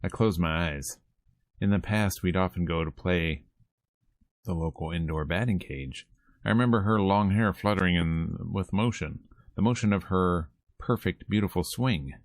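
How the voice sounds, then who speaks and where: A man's voice: low-pitched, normal speed, no echo. A man streaming a visual novel, reading its text aloud and breaking off into casual, wry asides. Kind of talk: reading game dialogue aloud with casual commentary.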